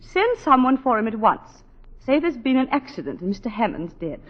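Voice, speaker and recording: An actress in a 1940s radio drama playing a shaken but controlled plantation wife, clipped and tremulous. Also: female voice, a little high, very animated, moderate pace, slightly rough. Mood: tense, controlled. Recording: muffled 1940s radio recording.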